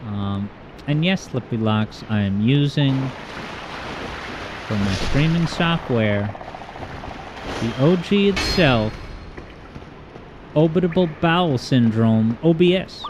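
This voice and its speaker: Man, game streamer, smooth voice, rambling and joking, reading chat, home mic with boosted EQ, steady background hiss. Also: mid-range voice, slow pace, pauses often. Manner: rambling, joking, self-deprecating. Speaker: livestream host. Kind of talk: casual chat, reading viewer comments while gaming.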